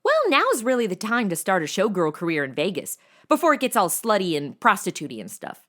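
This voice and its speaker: A woman's voice: medium pitch, sing-song, a little fast, fairly bright tone. A woman playing a sassy, irreverent, blunt young temp in a comedy audio drama, sarcastic and slangy.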